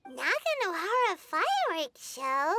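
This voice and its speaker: A woman voicing a small, excitable, childlike fairy companion in a video game, with a squeaky voice and exaggerated reactions. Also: a little slow, exaggerated voice. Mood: curious. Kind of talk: video game character dialogue. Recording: music behind the voice.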